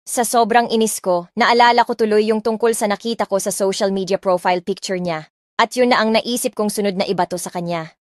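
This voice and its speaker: A woman's voice: mid-range voice, steady tone, a little fast, dry recording. A woman narrating a story in the first person as a spoiled, defiant rich young woman, reading expressively and mixing English lines into Tagalog. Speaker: audiobook narrator. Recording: dry close mic with soft music.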